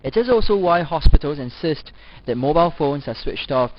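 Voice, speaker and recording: A man giving a scripted instructional narration as a lecturer, formal and measured, as if reading aloud. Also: fairly high pitch, lively intonation. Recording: dull lo-fi voice-over recording.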